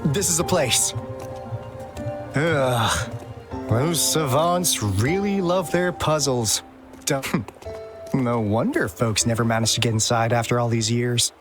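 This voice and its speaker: A man's voice: mid-range voice, takes it slowly, long pauses, close to an everyday voice. An actor voicing a laid-back, wry male explorer hunting treasure in a game cutscene. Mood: easygoing. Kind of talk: video game cutscene acting. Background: music.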